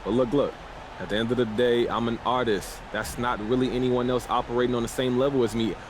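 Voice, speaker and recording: An actor playing a cocky, defiant young American basketball star, casual and boastful in a sports game's post-game interviews. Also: male voice, medium pitch, fairly even intonation, fast, noisy background, lightly acted. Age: young adult. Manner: boastful, self-assured, casual.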